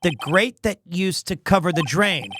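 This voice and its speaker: A man's voice: sits high, moderate pace, fairly bright tone, slight background noise. A man voicing a dry-witted, easily exasperated young male defense lawyer, reading video game dialogue and inner monologue in a matter-of-fact way. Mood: observant.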